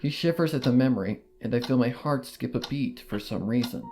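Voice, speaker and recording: A man's voice: medium pitch, brisk, darkish tone. Male audiobook narrator over faint music. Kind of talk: first-person audiobook narration of fan fiction.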